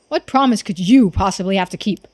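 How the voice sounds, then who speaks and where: A woman's voice: mid-range voice, a little fast, a little mellow, natural voice. A woman voicing a stern, domineering male businessman from a visual novel, with a scornful, commanding manner. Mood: accusing, contemptuous.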